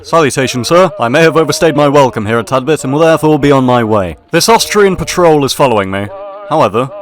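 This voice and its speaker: A man narrates his gameplay in the pompous tone of a stuffy officer, posh and full of bluster, with dry asides. His voice sits at a middle pitch. Game music plays clearly behind him. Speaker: gaming video host. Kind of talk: in-character gameplay commentary.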